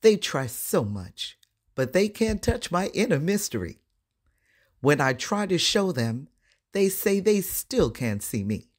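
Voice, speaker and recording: A woman voice-over artist giving an expressive, dramatic poetry recitation with confident, deliberate phrasing and a husky voice. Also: lowish voice.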